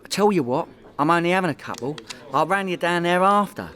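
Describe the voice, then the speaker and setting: A man's voice: high-pitched, animated, brisk, sharp tone. A man with a London accent playing a boastful, chatty small-time crook in a comedy radio play. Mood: chatty, cocky. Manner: bragging, colloquial, cocky.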